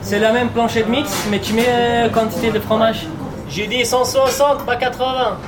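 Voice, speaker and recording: A man working in a restaurant, calling out food orders in a brisk manner. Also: extremely high pitch, level tone, noisy background. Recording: echoey kitchen with loud music.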